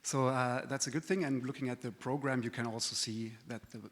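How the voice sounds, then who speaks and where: A man's voice: medium pitch, steady tone, a little fast, a bit bright. A man who is not a native English speaker gives a friendly, self-deprecating conference presentation, with frequent fillers and restarts. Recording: reverberant conference hall.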